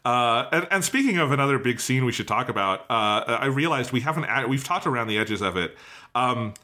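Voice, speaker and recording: A man's voice: medium pitch, expressive, fairly quick, sharp tone. A man co-hosting a podcast, chatting casually about a review in an informal, amused way with some swearing. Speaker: podcast co-host.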